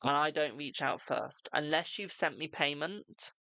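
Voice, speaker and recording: A British tarot reader hosting a live stream, chatting casually and answering viewers' questions with a brisk "my darling". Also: mid-range voice, bright, forceful tone, no echo. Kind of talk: casual live-stream chat and card readings.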